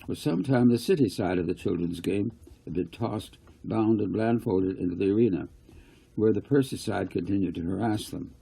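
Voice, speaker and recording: Guy reading his story out loud, gravelly mellow voice, takes his time. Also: fairly low pitch, moderate pace, poor audio. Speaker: author reading own novel. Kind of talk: scripted audiobook narration.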